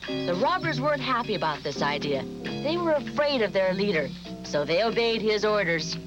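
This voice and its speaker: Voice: mid-range voice, big pitch swings, moderate pace, highs cut off. A narrator telling a fairy tale in a scripted storytelling style. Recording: music behind the voice.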